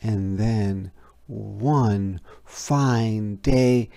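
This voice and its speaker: A storybook reader giving an expressive, deliberate, dramatic read-aloud narration for children. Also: medium pitch, vocal fry.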